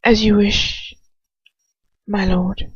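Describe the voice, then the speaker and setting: A woman voicing a proud male aristocratic villain, speaking in a hushed, breathy, formal way as a servant to his master. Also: medium pitch, level tone, drawn-out words, dry recording, dull audio, full character voice. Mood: stiffly apologetic.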